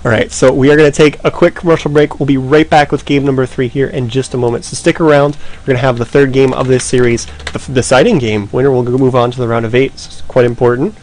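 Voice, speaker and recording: A man giving live esports commentary, explaining the play in a steady, analytical way. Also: mid-range voice, quick pace.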